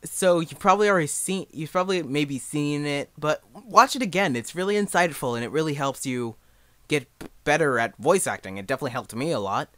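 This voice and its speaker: A young man giving a casual, unscripted tutorial in a rambling, halting, self-deprecating way. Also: a little high, animated, fairly bright tone. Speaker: amateur voice actor giving advice.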